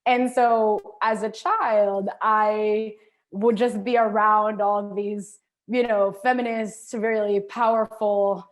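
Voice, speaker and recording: A young woman from the Philippines speaks in a relaxed, reflective way. She introduces herself as an activist, pausing on small fillers and taking her time. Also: mid-range voice. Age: young adult. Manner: thoughtful, relaxed, with frequent fillers. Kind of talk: conversational self-introduction.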